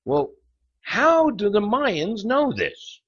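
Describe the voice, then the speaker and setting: A man narrating a lecture in a theatrical, suspenseful documentary style, pausing for effect between phrases. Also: sits high, sing-song, husky, highs cut off. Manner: theatrical, with rhetorical questions and suspenseful pauses.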